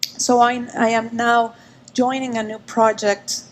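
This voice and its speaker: Even-toned woman on a panel. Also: a little high, unhurried. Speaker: finance executive, panelist. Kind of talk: spoken self-introduction on a panel. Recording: faint background noise, live panel.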